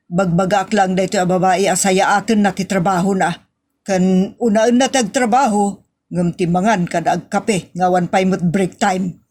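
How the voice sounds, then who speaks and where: A woman's voice: medium pitch, fairly even intonation, moderate pace, a little mellow, close to an everyday voice. A woman with a Filipino accent playing a spiteful, haughty office superior, scornful and confrontational in a radio-style drama. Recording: faint noise and clicks.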